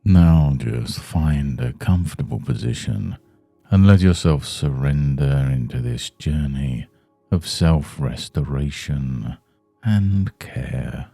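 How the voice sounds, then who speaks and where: A man leading a guided meditation in a soothing, measured, hushed manner. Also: a bass voice, creaky, dry recording, faint music behind. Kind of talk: guided Yoga Nidra meditation.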